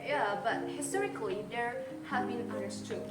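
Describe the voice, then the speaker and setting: A woman's voice: a little high, normal speed. A young woman from Indonesia co-hosting a podcast, upbeat and giving examples from home. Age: young adult. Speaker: podcast co-host. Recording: roomy sound with loud music bed.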